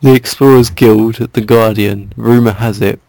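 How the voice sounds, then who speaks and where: A man's voice: fairly low pitch, fairly even intonation, measured pace, vocal fry, a little mellow, poor audio. A man co-hosting a podcast, chatting casually and often stammering over repeated word starts. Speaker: podcast co-host. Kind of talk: casual unscripted banter.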